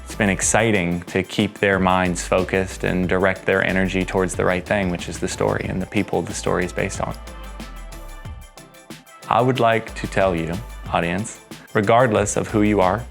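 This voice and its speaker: A man who directs movement on a film, speaking earnestly and reflectively in a behind-the-scenes interview. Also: fairly low pitch.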